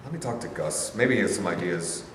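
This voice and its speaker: An actor as a bitter ex-boxer. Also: male voice, deep voice, expressive, brisk, slight background noise. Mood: defensive. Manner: defensive, flares up angrily. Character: bitter former boxer, a young man gone stale. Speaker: actor in a staged reading. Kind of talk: staged play reading. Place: large rehearsal studio. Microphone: far camera. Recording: roomy echo from a far camera.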